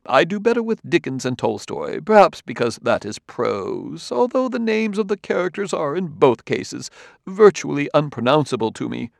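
A man acts out a courtly roboticist from an audiobook, all smooth talk and wolfish friendliness. His lines run on softly and swing up high in very lively melody, close and dry. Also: normal speed, no room sound. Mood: confidential, flattering. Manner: courtly, talkative, softly running sentences. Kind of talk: audiobook character dialogue.

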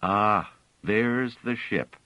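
A man's voice: low voice, big pitch swings, moderate pace, fairly bright tone, dry recording, highs cut off, full character voice. A man voicing a weary, commanding male space traveler in a storybook reading, speaking firmly.

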